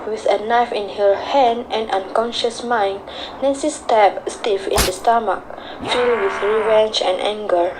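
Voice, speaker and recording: A woman's voice: mid-range voice, fairly even intonation, a little slow, hardly any pauses. A woman reading scripted radio drama narration in a dramatic storytelling manner. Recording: clear background noise.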